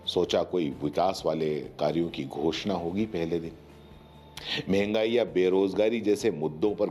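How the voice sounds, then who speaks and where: A man's voice: sits low, warm tone. A man in his forties from India giving opinionated news commentary in an emphatic manner, often posing rhetorical questions. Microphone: lapel mic.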